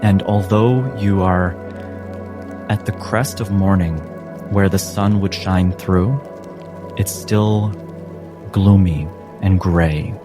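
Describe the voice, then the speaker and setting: A man narrating a tabletop game as game master, in deliberate, dramatic phrases with atmospheric pauses, improvising the scene. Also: deep voice. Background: music under the voice.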